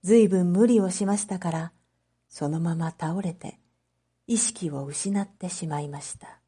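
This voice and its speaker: A woman narrating a scripted story as a teacher for language learners, reading carefully in clear phrases. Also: fairly low pitch, moderate pace, warm tone. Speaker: teacher narrating read-aloud material.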